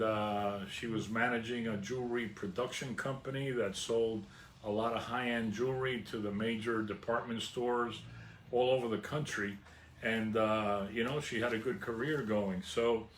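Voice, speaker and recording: A man in his fifties with a New Jersey accent, reminiscing in a relaxed way with lots of "uh" fillers. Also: mid-range voice, roomy sound, slight background noise. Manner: relaxed, many "uh" fillers, warms up excitedly about food. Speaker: vlogger. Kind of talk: casual reminiscing monologue.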